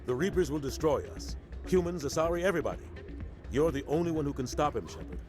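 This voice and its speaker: An actor playing a steadfast, loyal veteran military captain in a video game, speaking gravely and firmly. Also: male voice, fairly high pitch, expressive, fast, close to an everyday voice. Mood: grave, urgent. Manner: grave, urgent, firm. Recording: game dialogue over music.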